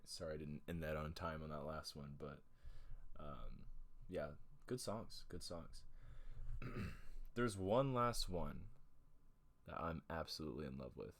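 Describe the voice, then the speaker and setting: Low voiced guy hosting a chill music show, rambly and easygoing, lots of ums. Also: a little slow, long pauses. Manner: laid-back, rambling, with ums and uhs. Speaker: host of an online music radio show. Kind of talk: casual on-air chat between songs.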